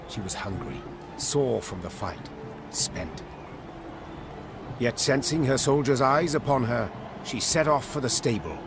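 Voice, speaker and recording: An actor playing the storyteller of a game, narrating a war tale in a literary, theatrical way. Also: male voice, mid-range voice, noisy background, lightly acted. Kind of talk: dramatic scripted storytelling narration. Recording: music under the voice.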